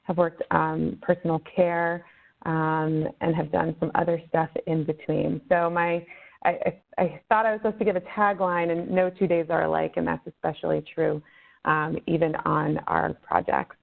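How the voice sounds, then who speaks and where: A woman in her thirties, a consulting manager, giving a relaxed self-introduction full of fillers on a webinar. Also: low-pitched, normal speed, creaky. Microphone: conference call line. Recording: phone-line webinar audio.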